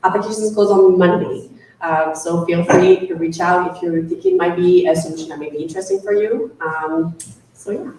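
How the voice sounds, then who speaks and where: A woman hosts a live panel, warm in manner and dotting her words with ums. She sounds far from the microphone in a very echoey event room, with a faint hiss underneath. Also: on the low side, expressive, moderate pace. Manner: friendly, with frequent ums. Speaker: panel moderator. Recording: echoey room, distant mic, faint noise.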